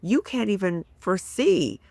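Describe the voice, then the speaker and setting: A woman in her fifties speaks with a gravelly, fry-touched voice, close to the mic in a dry room. She explains things earnestly and sometimes acts out people's worried thoughts. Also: medium pitch, sing-song, normal speed, darkish tone, no room sound. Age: 50s. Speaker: guest psychologist. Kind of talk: casual podcast discussion.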